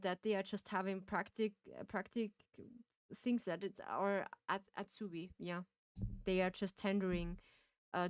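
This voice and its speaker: A woman's voice: medium pitch, smooth, no room sound. A young woman from Austria with an Austrian accent, chatting casually and laughing as she streams a game. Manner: chatty, rambling, laughs often, many restarts. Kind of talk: casual chat while playing and reading a game.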